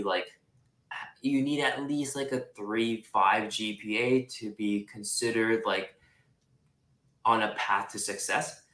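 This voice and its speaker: A young man from Japan who grew up in Singapore, a real estate investor talking casually as an interview guest, relaxed and chatty with lots of "like" fillers. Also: on the low side, roomy sound, low-quality recording. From Japan, raised in Singapore. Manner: relaxed, chatty, many "like" fillers, laughs easily.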